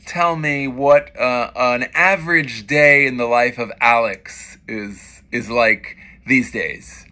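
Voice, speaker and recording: A middle-aged man hosting a casual video-call interview, chatty and playful with quick-fire questions. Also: medium pitch, sharp tone. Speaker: host, pianist. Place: indoors, small room.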